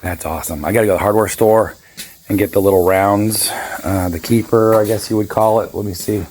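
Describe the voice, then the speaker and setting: A man in his fifties with a raspy, hoarse voice, chatting casually and rambling through a boat repair vlog. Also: on the low side, steady tone, a little fast, darkish tone, slight background noise. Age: 50s. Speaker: boat owner vlogger.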